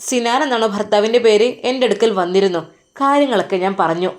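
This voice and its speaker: A woman's voice: medium pitch, fast talker, close to an everyday voice. A woman voicing a hospital doctor who lectures a young patient at length, firm and advising. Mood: explaining.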